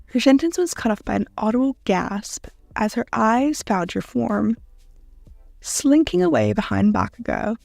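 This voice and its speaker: A woman narrating a scripted second-person story in a dramatic manner with deliberate pauses. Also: on the high side, sing-song, creaky, a little mellow, dry recording, faint music behind. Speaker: narrator of fan fiction audio.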